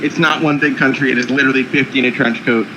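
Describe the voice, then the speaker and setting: A man's voice: medium pitch, fairly even intonation, fast talker, dull audio, noisy background. A man from Maryland chatting casually on a stream, laughing often and swearing. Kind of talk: casual chat during a game stream.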